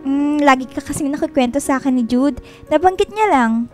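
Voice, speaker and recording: Radio drama: actress as bubbly female college student, high voice, sweet, cheerful, friendly, soft music bed. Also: animated, brisk. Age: young adult. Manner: cheerful, eager greeting style.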